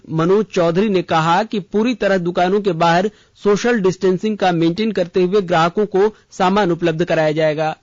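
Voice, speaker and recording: A man reading a scripted regional news bulletin in a formal, measured way. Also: sits high, no room sound. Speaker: radio news reader. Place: radio studio. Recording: slightly dull, band-limited radio audio.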